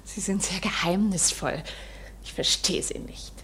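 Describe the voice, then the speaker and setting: An actress in a German radio play as a tired, curious young secretary, polite and easily alarmed, breathy and often near a whisper. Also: female voice, medium pitch, steady tone, unhurried, fairly bright tone, a little hiss or hum, natural voice.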